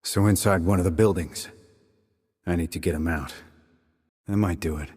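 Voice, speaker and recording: An actor playing a terse, dry, confident military sniper in a video game, with a husky voice and clipped, controlled reports. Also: male voice, low-pitched, moderate pace, darkish tone, no echo. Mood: businesslike. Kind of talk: scripted military game dialogue.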